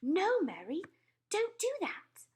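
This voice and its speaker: A woman voicing an astonished, imperious queen in a storybook read-aloud, moving between hushed murmurs and sharp commands. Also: extremely high pitch, wildly swooping pitch, slow pace, pitched above the performer's own voice, heavily acted. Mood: sharp, excited. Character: queen, poised, imperious, astonished older royal lady.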